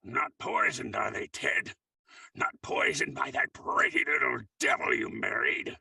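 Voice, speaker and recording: An actor playing a feeble, suspicious old uncle with a taunting, sly manner in an old-time radio horror play. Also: male voice, mid-range voice, expressive, measured pace, creaky, sharp tone, breathy edge, no echo, exaggerated voice. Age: older. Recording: distant from the microphone.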